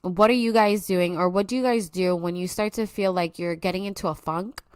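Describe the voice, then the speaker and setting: A woman's voice: fairly low pitch, steady tone, brisk, clear, resonant tone, no echo, clean studio sound. A young woman hosting her own podcast, talking earnestly and openly to her listeners, a little stuffy from allergies. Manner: earnest, confiding, speaks straight to listeners. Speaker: podcast host. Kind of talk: personal solo podcast talk. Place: at home.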